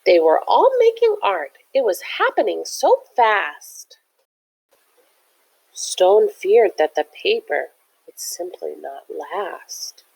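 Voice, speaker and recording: A woman's voice: mid-range voice, sing-song, slow, creaky, darkish tone, slightly rough, rough recording. A woman reading a picture book aloud like a teacher, with chatty, encouraging asides that explain things simply to young listeners. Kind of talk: animated children's read-aloud with chatty asides.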